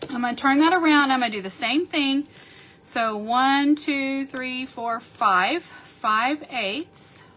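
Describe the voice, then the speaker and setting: A woman sniffling a little as she slowly talks through a card-making tutorial, counting measurements aloud while she works. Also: sits high, fairly bright tone. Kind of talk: step-by-step crafting tutorial.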